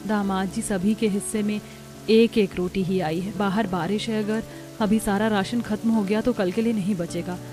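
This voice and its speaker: A woman voicing a gentle, self-sacrificing daughter-in-law from a poor village in a cartoon. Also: mid-range voice, fairly even intonation, moderate pace, faint music behind, lightly acted. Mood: patient.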